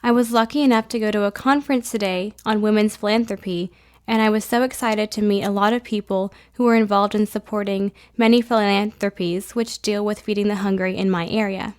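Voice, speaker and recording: Female student, teens, medium pitch, informal interview delivery. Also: fairly even intonation, fairly quick.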